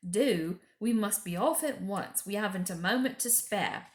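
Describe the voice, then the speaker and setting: A woman voicing a gruff, grave, wary male talking beaver in a children's storybook, speaking bluntly and with weight. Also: mid-range voice, animated, measured pace, reverberant. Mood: urgent, blunt. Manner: emphatic, blunt, weighty pronouncements. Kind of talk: children's storybook character reading.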